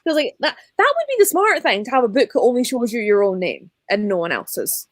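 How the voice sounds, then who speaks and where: A woman talks casually in a live book discussion. Her manner is blunt and slangy, her voice sits slightly high, and the sound is dry with little room around it. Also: big pitch swings, a little fast, dry recording.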